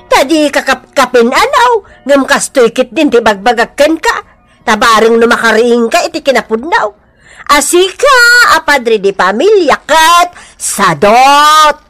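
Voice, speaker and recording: Scolding, insistent older village auntie for radio drama; high, sharp, lively voice. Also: female voice, faint music behind, full character voice. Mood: insistent, exasperated. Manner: scolding, insistent, drawn-out questioning. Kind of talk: Ilocano radio comedy drama acting.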